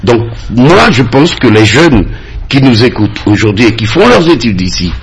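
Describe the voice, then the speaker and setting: Man, husky, lively pitch, insistent debate candidate on radio. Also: moderate pace. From French Guiana. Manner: insistent, emphatic, repeats phrases to drive points home. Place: radio studio.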